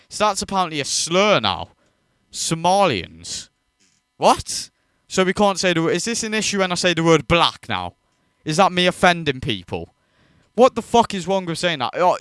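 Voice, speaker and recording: A man's voice: a little high, a bit bright, clean tone, no echo, studio quality. A man hosting a reaction video, ranting in disbelief and swearing freely as he mocks what he watches. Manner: incredulous, profane, mocking.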